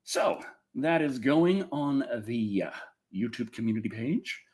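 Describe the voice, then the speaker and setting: A man hosting a livestream and reviewing films in casual, excitable, rambling chat, repeating himself and swearing freely, with a husky voice. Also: mid-range voice, unhurried. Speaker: livestream host and film reviewer.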